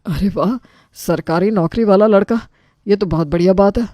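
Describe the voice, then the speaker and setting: A woman's voice: medium pitch, moderate pace, dark tone, dry recording, close to an everyday voice. Woman acting an anxious family man, dry sound. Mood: pleased. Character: anxious middle-aged family man, timid before his sister.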